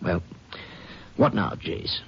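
A man's voice: takes it slowly, close to an everyday voice. A man playing a folksy, plain-spoken Texas sheriff with a Southern US drawl in a 1950s radio western. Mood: grim, musing. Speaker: supporting actor in a radio western. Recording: noisy, muffled old radio recording.

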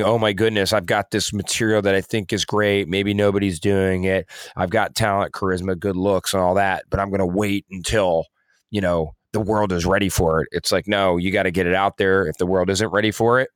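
A man's voice: sits low, dry recording, studio quality. A man co-hosting a podcast in casual discussion, explaining things in a rambling, hedging way. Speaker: podcast co-host.

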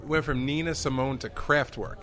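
A man's voice: medium pitch, steady tone, normal speed, fairly bright tone. A man co-hosting a casual interview who jumps in eagerly. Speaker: co-host.

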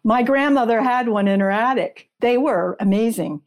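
A woman's voice: a little high, normal speed, darkish tone. A woman speaking as an interview guest, an author who explains her research in an informative, detailed way.

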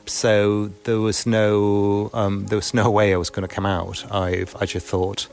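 Halting, deep-voiced man in his forties. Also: level tone, creaky, a little mellow. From Argentina. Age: 40s. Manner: halting, repeats words and restarts often. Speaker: storyteller guest. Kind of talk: personal life story, casual monologue. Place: small weekend cabin by a lake. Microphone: phone voice recordings.

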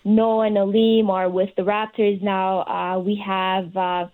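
A woman's voice: mid-range voice, flat delivery, unhurried, phone-quality sound. Earnest woman answering as a guest. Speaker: interview guest, recent fellowship recipient. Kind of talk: conversational interview answers.

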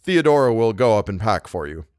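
A man voicing a gentle, hesitant, apologetic older doctor in an audiobook. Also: on the low side, sing-song, fairly quick, clear, resonant tone, no echo, clean studio sound. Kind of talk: audiobook character voice.

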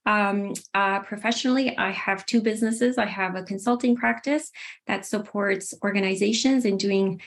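Woman from Chile, mid-pitched, measured and friendly, video call. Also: fairly even intonation, gravelly. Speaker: guest, DEI consultant. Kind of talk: panel introduction.

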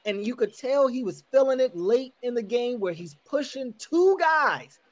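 A man's voice: very high-pitched, expressive, moderate pace, no room sound. A man who hosts sports radio and covers a team beat, talking through a game in casual chat and stressing points by repeating them. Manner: emphatic, repeats key points.

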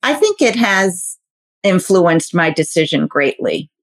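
A woman's voice: lowish voice, very animated, slow, no echo. A woman who founded a wealth management firm, answering interview questions in an earnest, reflective way. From United States. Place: Boston area.

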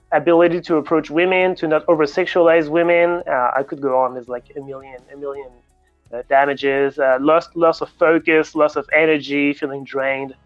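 Higher-pitched French man, slightly dull audio. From France, grew up in Paris. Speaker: guest, coach. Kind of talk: conversational interview answers.

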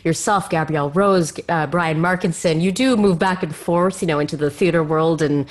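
A woman's voice: sits low, normal speed. A woman hosting a conversational interview, circling tentatively toward her questions. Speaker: podcast host.

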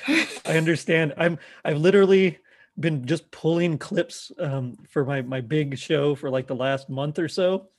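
A man's voice: on the high side, unhurried. A man chatting casually as a guest podcaster among a group.

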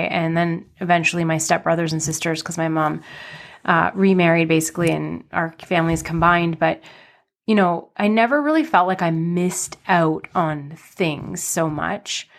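Podcast host, woman in her 30s, lower pitch, chatty rambling delivery with a slight cold in the nose. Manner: chatty, rambling with many fillers and restarts. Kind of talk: casual personal storytelling.

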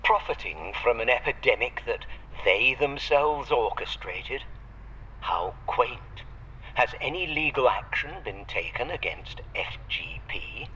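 A man's voice: medium pitch, animated, unhurried, sharp tone, lo-fi sound. A man playing a loyal, wry butler in an audio drama, formal and sardonic, heard through a radio filter. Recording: band-limited radio link with noisy hiss.

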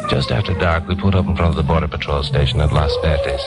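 A man's voice: a bass voice, fast, creaky, loud music behind the voice. An actor playing a confident, teasing hard-boiled newsman who narrates a 1950s radio crime drama in a wry American voice. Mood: tense, matter-of-fact. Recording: old 1950s radio recording.

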